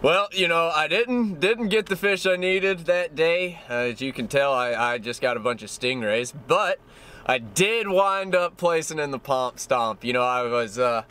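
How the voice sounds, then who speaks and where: A man's voice: fairly high pitch, lively intonation, measured pace, sharp tone, clear, resonant tone. A man, chatting easily to a dashboard camera from his parked car as a fishing vlogger, rambling and restarting. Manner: easygoing, rambling with restarts, says y'all. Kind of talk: casual chat to camera. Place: parked car cab, indoors.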